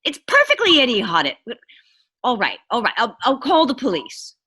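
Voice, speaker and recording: A woman's voice: on the high side, huge pitch swings, moderate pace, bright, forceful tone, slightly rough, heavily acted. A woman playing a haughty, fretful married lady in a 1940s-style radio thriller, insistent, exasperated and stammering when flustered. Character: imperious, fretful, high-strung married woman.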